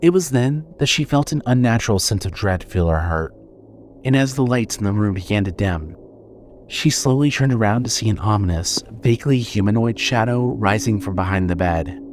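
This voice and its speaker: A man with a fairly low voice narrates horror fiction in a measured, suspenseful way. A faint layer of noisy ambience sits behind him. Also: lively intonation. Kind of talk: scripted horror story narration.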